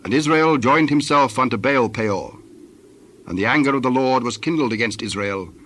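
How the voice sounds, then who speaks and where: Measured male Bible narrator over faint ambience. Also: medium pitch, expressive, a bit bright, slight background noise. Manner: measured, formal delivery.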